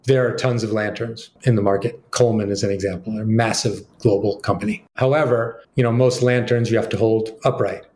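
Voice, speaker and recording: A man, a company co-founder, talking in an interview in a businesslike, sales-pitch manner with frequent fillers. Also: fairly even intonation, fairly quick, few pauses, darkish tone, reverberant, a little hiss or hum. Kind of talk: interview answers.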